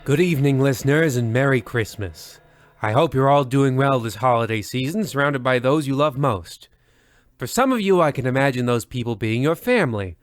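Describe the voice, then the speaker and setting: A man playing a pompous, deadpan horror-anthology host, narrating in a formal old-time radio style. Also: medium pitch, moderate pace, dry recording, lightly acted.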